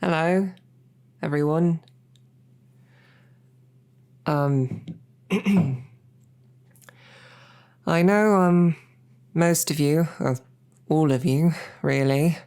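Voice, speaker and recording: A woman playing a bashful, smitten knight and royal guard in an intimate audio roleplay, speaking haltingly with flustered, self-deprecating rambling. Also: low-pitched, a little mellow, heavily acted.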